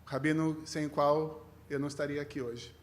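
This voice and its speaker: Guest rabbi, male, Brazilian accent with a mixed tinge, lightly raised pitch, conversational sermon with self-deprecating humour. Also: level tone, fairly quick. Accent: Brazilian with a mixed foreign tinge. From Rio de Janeiro, Brazil.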